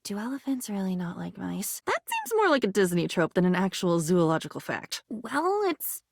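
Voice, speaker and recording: A woman's voice: mid-range voice, huge pitch swings, moderate pace, gravelly, dry recording, studio quality, heavily acted. A woman voicing a sweet, naive and chatty living diary in a video game, rambling with wide-eyed wonder. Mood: nervous, shy. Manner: breathless rambling, wide-eyed, swings from hushed to gushing.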